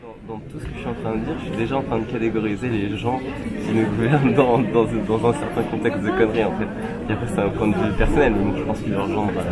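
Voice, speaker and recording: Low-voiced man musing earnestly on the street. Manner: earnest, searching for words. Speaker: passer-by. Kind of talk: street interview answers. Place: busy street outdoors. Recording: loud crowd behind.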